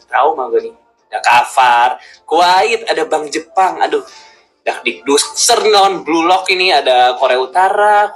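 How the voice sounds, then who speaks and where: A man's voice: on the high side, a bit bright, low-quality recording. A man, hosting casual, slangy, exclamatory football fan commentary.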